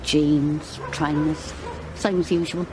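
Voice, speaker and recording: A woman's voice: low voice, level tone, slow, background noise. A woman playing a guarded, blunt, chain-smoking barmaid in her fifties in an audio drama. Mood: recalling. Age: 50s. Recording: music behind the voice.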